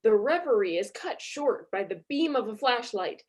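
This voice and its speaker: Husky, high, expressive woman narrator. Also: normal speed. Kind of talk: scripted comedic narration.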